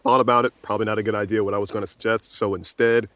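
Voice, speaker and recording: A man playing a tabletop game, chatting casually and getting excitable. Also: racing, no echo. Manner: excitable, swings up in pitch. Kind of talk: casual play chat.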